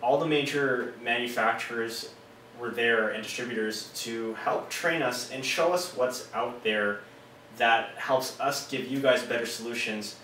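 A man's voice: mid-range voice, a little slow, fairly bright tone, a little hiss or hum. A man in his thirties from Canada, casually explaining to camera from a small office with hand gestures. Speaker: car audio installer and vlogger. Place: small office with monitors, indoors. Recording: roomy sound from a distant mic.

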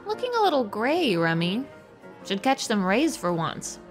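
A woman's voice: mid-range voice, huge pitch swings, a little slow, music in the background. An actress playing a blunt, sardonic, hard-drinking woman in an audio drama.

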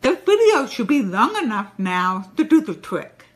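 A woman with a deaf accent presenting a short recorded tip video, speaking slowly and deliberately. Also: fairly high pitch, big pitch swings. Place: home room with shelves.

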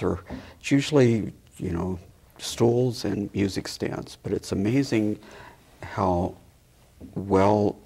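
Low, gravelly middle-aged man. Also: darkish tone. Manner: halting, measured. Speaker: theatre board member and director, guest. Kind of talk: explanatory interview talk. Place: small TV studio, seated at a table. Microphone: lapel mic.

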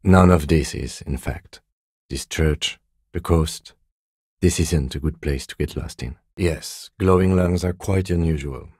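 Reserved game detective, very low voice, dark and mellow, formal, deadpan, dryly courteous. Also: male voice, a little slow, slightly rough, no room sound, studio quality, heavily acted. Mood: measured, matter-of-fact. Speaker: voice actor. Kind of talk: video game dialogue acting.

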